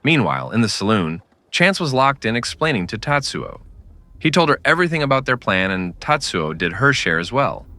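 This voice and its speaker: A man's voice: medium pitch, very animated, normal speed, pushed and bright, no echo. A man narrating a scripted western story in the style of an old-time radio drama.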